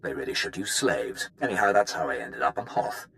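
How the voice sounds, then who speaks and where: An actor playing a wry, aggrieved military weapons master in a video game, with a theatrical way of talking. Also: male voice, very deep voice, very animated, fairly quick, creaky, fairly bright tone, slight background noise, full character voice. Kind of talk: video game character dialogue.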